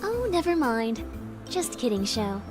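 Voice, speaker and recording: Young anime game girl, highish expressive voice, music playing pretty clearly behind. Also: unhurried. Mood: teasing. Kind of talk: visual novel game voice acting.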